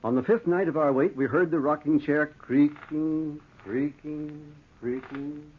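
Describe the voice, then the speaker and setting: A man acting an earnest, excitable older doctor who narrates a ghost tale in old-time radio horror style, with dramatic, deliberate delivery. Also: fairly high pitch, narrow, tinny audio, close to an everyday voice. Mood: hushed suspense. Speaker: narrator and lead actor. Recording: thin, noisy vintage radio recording.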